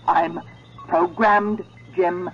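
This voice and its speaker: Evil lady computer in old radio play, super slow and deliberate, muffled sound. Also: fairly low pitch, steady tone. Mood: coldly taunting. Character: deadly sentient female computer, coldly taunting. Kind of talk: 1970s radio drama acting. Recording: muffled vintage radio broadcast, music underneath.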